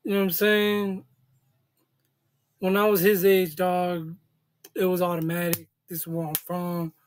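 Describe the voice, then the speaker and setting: A man's voice: high voice, little pitch movement, measured pace, slight background noise. A man in his thirties hosting a reaction video, talking in laid-back street slang in a small room. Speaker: reaction channel host. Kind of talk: casual reaction commentary. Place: small indoor room, seated at a desk.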